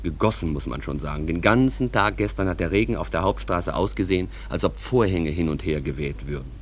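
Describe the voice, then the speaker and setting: An actor playing a wry, folksy stage manager who narrates town life conversationally to radio listeners. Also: male voice, sits low, brisk, slight background noise, natural voice. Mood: wry, companionable. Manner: conversational, addresses listeners directly. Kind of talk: German radio play narration.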